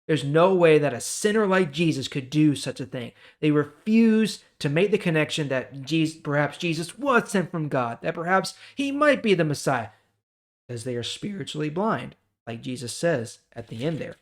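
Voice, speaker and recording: A man's voice: fairly high pitch, animated, moderate pace. A man hosting a Bible reading, explaining scripture informally and checking in with the listener.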